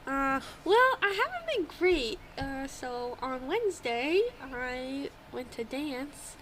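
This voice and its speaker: A woman's voice: sits high, sing-song, slow, noisy background. A young woman with a congested, stuffy nose, chatting casually as a podcast co-host, swearing freely and laughing often. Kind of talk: casual chat. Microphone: old home microphone.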